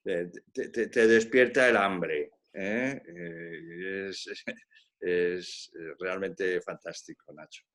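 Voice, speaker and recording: Deep-voiced man on a video call. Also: fairly bright tone. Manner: rambling, halting restarts. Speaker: audience member. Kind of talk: casual chat in a video call.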